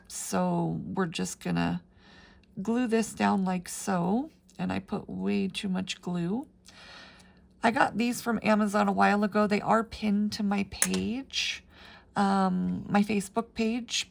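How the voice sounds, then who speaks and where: A middle-aged woman, raspy from the flu, chatting her way through a paper crafting tutorial with plenty of ums. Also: mid-range voice. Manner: chatty, rambling with frequent ums. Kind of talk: casual step-by-step craft tutorial.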